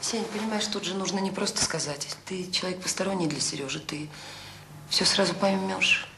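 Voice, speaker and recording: Roomy, noisy recording of a gentle woman. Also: lowish voice, a little fast. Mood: hesitant, confiding. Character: devoted, busy children's home director, gentle woman. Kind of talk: Soviet radio drama acting. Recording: roomy, clearly noisy old radio play recording.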